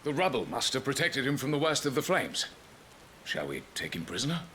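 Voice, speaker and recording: An actor playing a smug, callous military officer in a video game, with a sardonic, mock-courteous drawl. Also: male voice, mid-range voice, big pitch swings, fairly quick, pushed and bright, a little hiss or hum. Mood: callous amusement. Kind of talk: dramatic video game acting.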